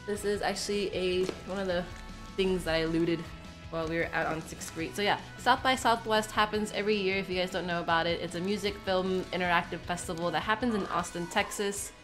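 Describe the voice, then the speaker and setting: A woman's voice: fairly low pitch, normal speed, music in the background. A sick young woman with a stuffy, nasal voice, chatting casually in a small room while unpacking a bag, rambling with lots of fillers. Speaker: vlogger. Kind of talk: casual unboxing chat.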